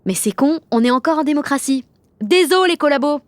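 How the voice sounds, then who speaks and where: A woman's voice: high voice, expressive, a little fast, dry recording. A woman playing a rebellious, sardonic sixteen-year-old schoolgirl who tells her story in blunt, swearing slang in an audio drama. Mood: defiant, agitated. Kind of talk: first-person audio drama narration in slangy speech.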